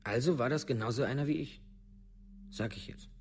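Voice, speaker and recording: A shaken village teenager played by a man recounts things in a radio play monologue. His voice sits at a middle pitch, and his wording is blunt, colloquial and halting. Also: close to an everyday voice. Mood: wistful, defensive. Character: Matthias, rough, shaken teenage village friend of victim.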